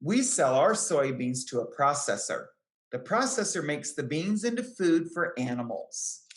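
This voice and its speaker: In a roomy, echoing space, a woman reads a children's picture book aloud in a low voice. Her delivery is careful and deliberate, with lively rises and falls in pitch that suit young listeners. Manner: careful, deliberate phrasing with short explanatory asides to children. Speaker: children's book reader, extension educator.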